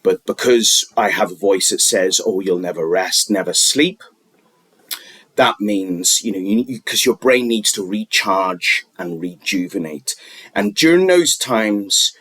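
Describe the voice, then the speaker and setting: Slow, husky-voiced man rambling. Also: lowish voice, fairly bright tone, no echo. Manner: halting, rambling, with many restarts and fillers. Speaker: vlogger giving wellness advice. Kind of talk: unscripted advice monologue.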